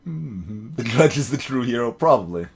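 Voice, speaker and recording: A man's voice: mid-range voice, expressive, moderate pace, vocal fry, breathy edge. A man doing live let's play commentary as a game streamer, with wry, joking asides. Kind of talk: live let's play commentary mixed with voiced dialogue reading. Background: game music.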